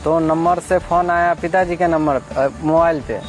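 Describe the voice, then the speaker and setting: A man's voice: a little high, steady tone, normal speed. A man with a Bihari accent talking in a plaintive, repetitive way, explaining and pleading. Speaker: complainant, patient's relative. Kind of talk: pleading phone talk and interview account. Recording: background music over recorded speech.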